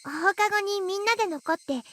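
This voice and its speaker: A sweet anime schoolgirl with an extremely high, girlish voice. She is gentle and a little timid, ending phrases in a cutesy way, as a visual novel heroine. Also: rapid-fire, a little hiss or hum. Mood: brightly reminiscing. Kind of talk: Japanese visual novel voice acting.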